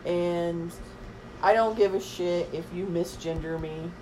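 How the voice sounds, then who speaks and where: Middle-aged mom, very deep low voice, blunt and matter-of-fact, faint noise behind. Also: female voice, unhurried, darkish tone, poor audio. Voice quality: very deep voice for a woman. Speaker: guest, the host's mother. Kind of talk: casual chat.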